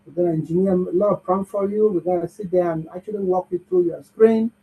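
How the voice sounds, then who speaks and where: Upbeat high-voiced man hosting a webinar. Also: fairly even intonation, fast. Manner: upbeat, cheerleading the audience. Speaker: bootcamp host. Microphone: video call. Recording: muffled video-call audio.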